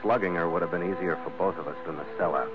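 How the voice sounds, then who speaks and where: An actor playing a tough, wry American sea captain with a clipped, sardonic delivery in 1940s radio noir style. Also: male voice, low voice, fairly even intonation, fast talker, lightly acted. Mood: brooding. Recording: dull vintage radio recording, loud music.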